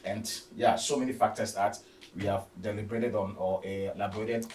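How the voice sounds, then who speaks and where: A man with a fairly deep voice talks sport without a script. He stammers and doubles back on words, hesitating often. The sound is roomy and slightly distant, with faint noise. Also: fairly even intonation, crowd noise. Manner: halting, stammering, repeating words. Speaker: football discussion panellist. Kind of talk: unscripted sports discussion.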